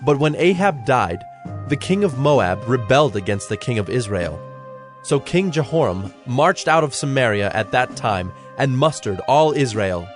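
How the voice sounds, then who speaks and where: A man's voice: medium pitch, very animated, normal speed. A man narrating scripture from a script in a solemn storytelling manner. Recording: background music under the voice.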